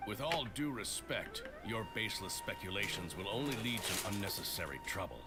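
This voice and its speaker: A low-voiced, officious soldier in a video game. He is formal, curt and unyielding, with cool menace. Loud music plays behind him. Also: lively intonation, brisk. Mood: cold, officious. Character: stern, officious male soldier agent, coolly threatening. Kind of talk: scripted video game voice acting.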